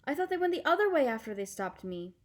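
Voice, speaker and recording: A woman's voice: sits high, sing-song, quick pace, voice pushed up. A woman voicing an earnest, curious young girl in a storybook, eager and questioning. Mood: surprised.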